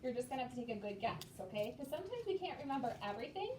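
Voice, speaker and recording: High-voiced woman teacher in an echoey classroom. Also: very animated, rapid-fire. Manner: simple, patient explaining with frequent check-in questions. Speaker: elementary school teacher. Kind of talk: classroom instruction to young children. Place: elementary school classroom, indoors. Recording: echoey noisy classroom, far from mic.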